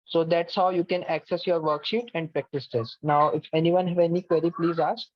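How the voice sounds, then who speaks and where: A man's voice: a little high, fairly even intonation, fairly quick, no echo. A man walks students through an online course platform, patiently giving step-by-step instructions and often saying "okay". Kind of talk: online class platform walkthrough. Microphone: video call mic. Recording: dull lo-fi video call, dry.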